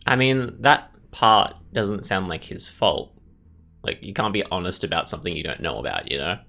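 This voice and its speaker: A man's voice: sits low, expressive, moderate pace, creaky, sharp tone. A man giving casual let's play commentary, thinking aloud in tentative fragments. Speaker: gaming host. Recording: music playing behind commentary. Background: music.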